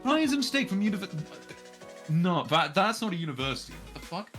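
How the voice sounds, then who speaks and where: A man's voice: high voice, very animated, a little fast, fairly bright tone. A man streaming a visual novel, narrating aloud and breaking off into irreverent, sweary joking commentary. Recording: faint music behind the voice.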